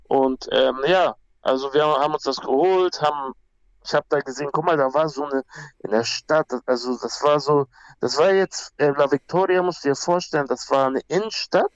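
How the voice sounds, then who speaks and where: A man's voice: fairly high pitch, normal speed, dry recording, lo-fi sound. A young man from Peru, a guest talking casually and bluntly, with slang and many restarts. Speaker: guest, MMA fighter. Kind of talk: casual conversation.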